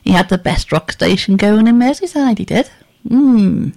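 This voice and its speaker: A woman's voice: medium pitch, lively intonation, moderate pace, vocal fry, darkish tone. Scratchy-voiced middle-aged woman radio DJ. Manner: chatty, rambling with ums. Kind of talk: casual radio presenting.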